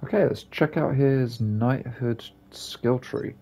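A man with a gravelly, husky voice giving casual, rambling commentary as he plays a video game. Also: medium pitch, slow pace, dark tone, a little hiss or hum. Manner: rambling, offhand. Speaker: gaming video creator.

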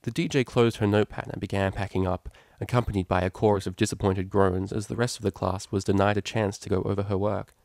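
A man's voice: lowish voice, fairly even intonation, quick pace, few pauses, a little mellow, no echo, studio quality. A man reading a scripted story aloud as an audiobook narrator. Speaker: audiobook reader.

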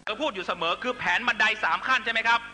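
A man's voice: very high-pitched, quick pace, pushed and bright, a little hiss or hum. A man delivering a fiery political speech to supporters, accusatory and emphatic, constantly pressing listeners with rhetorical questions. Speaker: political rally speaker.

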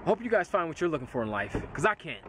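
A young man chatting casually to a handheld camera for his vlog, in a relaxed way. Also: a little high, fast talker, noisy background. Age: young adult. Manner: laid-back, talks to camera, commands dogs firmly. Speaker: vlogger. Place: car park outdoors, then house indoors. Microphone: handheld camera at arm's length.

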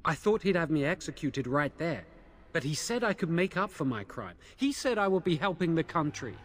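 A man's voice: on the high side, big pitch swings, moderate pace. An actor playing an anxious, guilt-ridden young male mage in a fantasy video game, speaking in an earnest, defensive, pleading way. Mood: remorseful, explaining.

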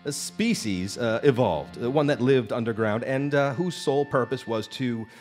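An actor playing a nervous, evasive labor company representative in a live radio drama, stammering and officious. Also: male voice, medium pitch, normal speed, darkish tone, lightly acted. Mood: cautious explaining. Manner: stammering, hesitant, apologetic and officious. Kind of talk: live old-time radio drama acting. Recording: live stage performance with background music. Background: music.

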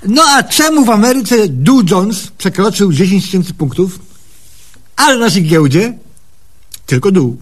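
High-pitched gullible country bumpkin. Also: male voice, big pitch swings, unhurried, heavily acted. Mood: puzzled, trusting. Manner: naive, folksy, wondering. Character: simple, gullible, eager male country patient. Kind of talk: comic radio sketch acting.

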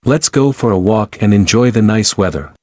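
A man with a somewhat low voice reads short answers for a language lesson, each line carefully paced. The sound is dry and close, with no room around it. His delivery stays clear and even. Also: no room sound. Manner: clear, even, deliberate reading. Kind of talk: slow repeated example sentences for learners.

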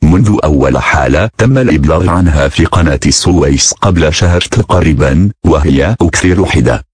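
A man's voice: extremely low pitch, animated, racing, slightly rough, dry recording, clean studio sound. A man reading a scripted emergency announcement as a broadcast announcer, formal and synthetic-sounding.

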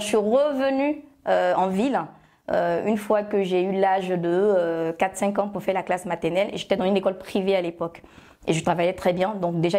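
A woman in her thirties speaks with an Ivorian French accent in a lowish voice. She talks easily and chattily, with plenty of fillers and restarts, recorded on a lapel mic in a small bright studio. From Côte d'Ivoire. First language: Agni. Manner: chatty, many fillers and self-corrections. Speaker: guest, love shop founder. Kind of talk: relaxed interview answers.

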